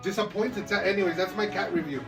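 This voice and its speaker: A man's voice: high-pitched, fast. A man co-hosting a podcast, rambling casually in slangy talk and swearing freely. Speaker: podcast co-host. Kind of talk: casual chat about movies and video games. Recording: distant mic with music behind. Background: music.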